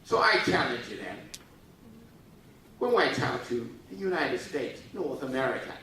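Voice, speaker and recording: A bright, animated middle-aged man, joking and teasing his way through a speech to a youthful crowd. His voice swings widely in pitch as he coaxes the audience to answer back. It rings through a big echoey hall, heard from some distance, with a faint hum of room noise. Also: normal speed. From Taiwan. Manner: playful, teasing, prods the audience to respond. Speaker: speaker at a youth forum.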